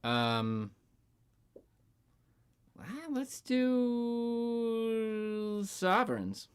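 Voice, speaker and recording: A man's voice: very high-pitched, very animated, extremely slow, smooth. A man chatting casually as a contestant on a game show. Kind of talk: casual chat.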